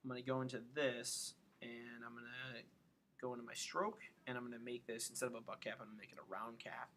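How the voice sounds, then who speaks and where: Bright-voiced man giving a software tutorial. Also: medium pitch, level tone, a little fast, slight background noise. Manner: informal, thinking aloud step by step. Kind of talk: casual screen-recorded software tutorial.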